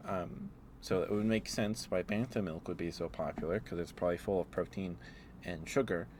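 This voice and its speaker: A man streaming a casual, rambling ASMR chat, speaking in a halting, musing way as if thinking aloud. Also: low-pitched, darkish tone, slight background noise. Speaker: livestreamer.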